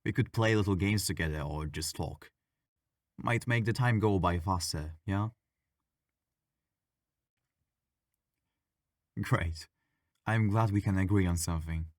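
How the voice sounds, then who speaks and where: A man playing a friendly, bored, mischievous ghost in an intimate ASMR audio roleplay, talking in a playful, wry, gently argumentative way. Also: low voice, moderate pace, no room sound, clean studio sound, lightly acted. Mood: friendly, hopeful.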